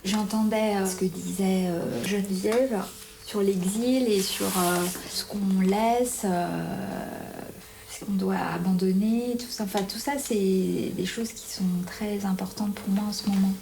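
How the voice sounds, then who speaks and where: A woman sharing her feelings in a group circle, soft, hesitant and anxious. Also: medium pitch, creaky, slight background noise. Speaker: retreat participant.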